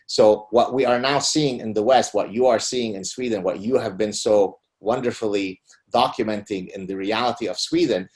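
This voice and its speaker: Man, middle-aged, from Lebanon, native Arabic speaker, emphatic, interview guest. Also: darkish tone. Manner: emphatic, rising pitch on key points. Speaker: guest author. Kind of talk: conversational interview.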